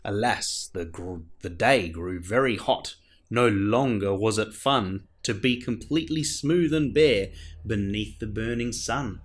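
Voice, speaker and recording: A man reading a children's picture book aloud as a storyteller, in a theatrical manner with dramatic pauses. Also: fairly low pitch. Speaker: storyteller, show host.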